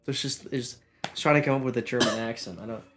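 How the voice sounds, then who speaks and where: A man's voice: medium pitch, steady tone, fast talker. A man playing a horror game, nervous and pleading when chased, chatting casually with a friend. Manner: jittery, pleading under pressure. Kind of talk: casual gaming chat. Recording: noisy mic with clicks.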